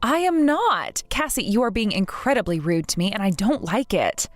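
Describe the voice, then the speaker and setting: A woman in her thirties voicing a patient, polite bride-to-be who speaks in a soft, measured, restrained way. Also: medium pitch, sing-song, no room sound, faint music behind, natural voice. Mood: hurt. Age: 30s.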